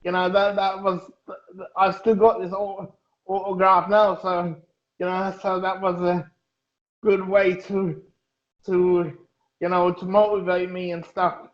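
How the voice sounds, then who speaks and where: A man in his thirties from Germany, talking haltingly as an interview guest, with many fillers and restarts. His voice is high and flat, with little rise and fall. The sound is echoey and dull, like a lo-fi room recording. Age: 30s. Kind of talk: casual interview.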